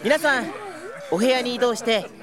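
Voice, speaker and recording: A man's voice: very high-pitched, moderate pace, crowd noise. A man playing an earnest young tour company employee in an audio drama, polite and easily flustered. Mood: polite, businesslike. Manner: polite, hesitant.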